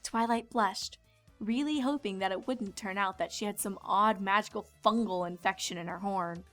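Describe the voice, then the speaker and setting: A woman's voice: mid-range voice, moderate pace, a bit bright. A woman reading scripted storybook narration as the narrator. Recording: music behind the voice.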